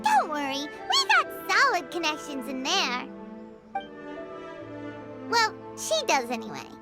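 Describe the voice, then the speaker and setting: Tiny squeaky game fairy, super high and bouncy, cheeky, loud jingly music behind. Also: female voice, takes it slowly, full character voice. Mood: cheerful, reassuring. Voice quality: squeaky, childlike. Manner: excitable, exclamatory, speaks of self in third person. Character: small childlike fairy companion, chirpy, eager and cheeky. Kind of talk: video game voice acting.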